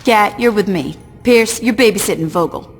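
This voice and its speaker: A woman's voice: medium pitch, normal speed, soft background music, close to an everyday voice. Woman playing a brusque gang boss, hall reverb. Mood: wary, commanding. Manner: curt, sardonic. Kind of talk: video game cutscene acting.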